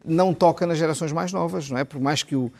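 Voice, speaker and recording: Fast-talking male pundit. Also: a little high, creaky. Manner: fast, halting, many restarts and repeated words. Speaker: political commentator. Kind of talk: televised panel debate.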